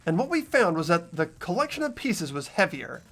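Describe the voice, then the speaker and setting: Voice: medium pitch, big pitch swings, moderate pace, a bit bright. A performer voicing an earnest teenage boy investigator who argues through the evidence in a fan dub of a game. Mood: reasoning.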